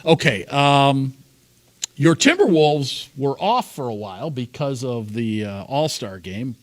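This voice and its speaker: A man's voice: mid-range voice, animated, slow pace. A man hosting a casual sports talk show, chatty, laughing often and getting worked up.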